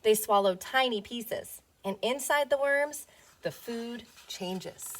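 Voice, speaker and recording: A woman teacher reading a picture book aloud to young children, playful and expressive, often putting questions to them. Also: a little high, takes it slowly, low-quality recording.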